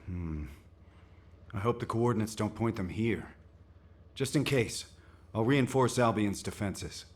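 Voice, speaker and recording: Low-voiced, composed male military commander. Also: a little slow. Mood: measured concern. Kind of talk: video game voice acting.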